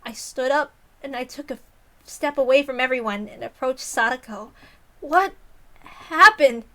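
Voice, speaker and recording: A woman's voice: high voice, normal speed. A woman reading a visual novel aloud as dramatic narration.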